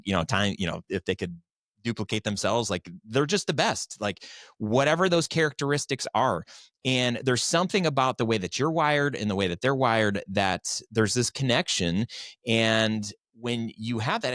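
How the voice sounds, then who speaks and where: Male, middle-aged, lowish voice, dry close sound. Earnest, informal podcast guest. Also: fairly bright tone, dry recording. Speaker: podcast guest, video company founder. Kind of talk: conversational interview talk.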